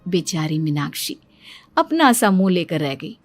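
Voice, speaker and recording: A woman's voice: on the low side, very animated, a little slow. Woman narrating a cartoon story over music.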